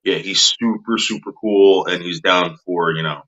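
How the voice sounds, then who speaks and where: Brief: male stream guest, low voice with a bright edge, lo-fi audio, stammers and repeats himself. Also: fairly even intonation, moderate pace. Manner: stammering, repeats words, profane. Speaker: livestream guest. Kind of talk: casual livestream chat. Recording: dull lo-fi call audio.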